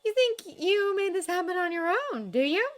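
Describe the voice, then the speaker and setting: A woman's voice: extremely high pitch, lively intonation, moderate pace, voice pushed up. A woman voicing a bossy, teasing young woman who coaches archery, in a fan fiction reading. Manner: teasing, instructive.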